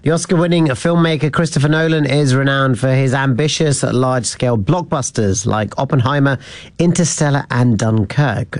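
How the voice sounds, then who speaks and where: A male news presenter introduces the next story in a steady, formal way. The sound is dry and close with no room echo. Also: mid-range voice.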